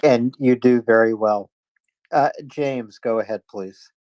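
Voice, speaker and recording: A man hosting a live online discussion, speaking casually in a halting, hesitant way. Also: medium pitch, darkish tone, no room sound. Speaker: host of a live audio discussion space. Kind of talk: casual announcing, chatting with guests. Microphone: live online audio chat.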